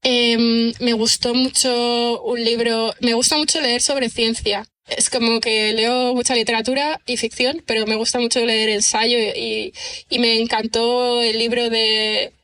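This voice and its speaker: Bright, high-voiced woman chatting. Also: little pitch movement, a little slow. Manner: chatty, self-deprecating, thinks aloud with frequent check-in tags. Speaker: podcast guest, writer and screenwriter. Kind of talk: casual conversational interview answers.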